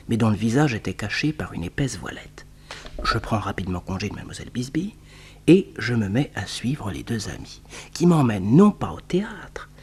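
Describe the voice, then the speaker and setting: An actor playing a detective's wry young assistant in a French radio serial, narrating and questioning with polished suspense. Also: male voice, mid-range voice, close to an everyday voice. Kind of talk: dramatic first-person narration and dialogue, radio serial. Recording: noisy old radio recording with hum.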